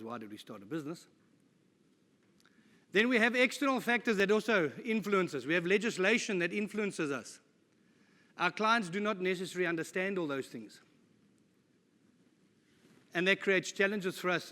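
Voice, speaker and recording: A man's voice: a little high, sharp tone. A middle-aged man giving a conference talk, informal and jokey with self-deprecating asides. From South Africa. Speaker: conference speaker. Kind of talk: conference presentation. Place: conference hall. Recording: live talk in a reverberant hall.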